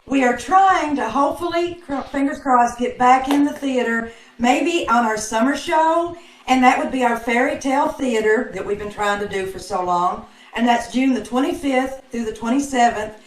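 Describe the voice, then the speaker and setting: A woman's voice: high voice, moderate pace, a little hiss or hum. An American woman giving casual, chatty announcements as co-host on a video call. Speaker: co-host of radio troupe show. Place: indoor room, video call. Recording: echoey, distant video-call sound.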